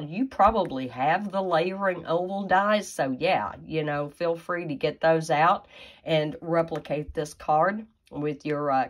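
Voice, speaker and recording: A woman with a stuffy nose from a sinus infection, chatting folksily through a card-making tutorial. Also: sits low. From Tennessee, USA. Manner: chatty and folksy, with frequent fillers and asides. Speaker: craft demonstrator.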